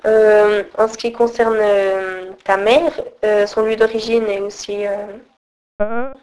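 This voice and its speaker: A woman's voice: medium pitch, fairly even intonation, takes it slowly, a little mellow. A woman interviewing a man, asking brief prompting questions. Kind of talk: oral history interview.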